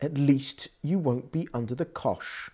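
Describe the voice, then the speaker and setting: A woman with an English accent giving a lively, conversational religious lecture, acting out quoted lines as she explains. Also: rumbling low, measured pace, breathy edge. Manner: animated, conversational teaching with quoted dialogue. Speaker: Torah teacher.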